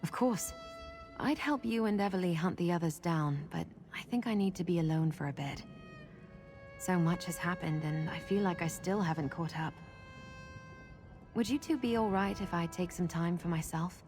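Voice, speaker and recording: Woman playing a composed, lowish-voiced adventurer. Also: moderate pace, a little mellow, faint music behind, lightly acted. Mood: weary, reflective. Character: composed, reserved female adventurer ally. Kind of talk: video game character acting.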